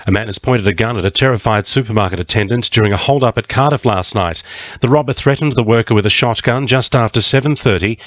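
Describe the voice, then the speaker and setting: A man's voice: medium pitch, fast talker, a bit bright, dry recording, dull audio. An Australian man reading a scripted radio news bulletin. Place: radio studio.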